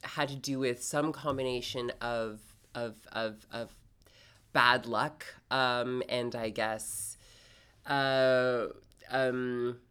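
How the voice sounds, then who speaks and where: Halting, thoughtful woman with a smooth voice. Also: a bass voice, fairly bright tone. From Canada. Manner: halting, thoughtful, heavy use of "like" and "um". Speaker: interviewee, poet and graduate student. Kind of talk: casual interview talk.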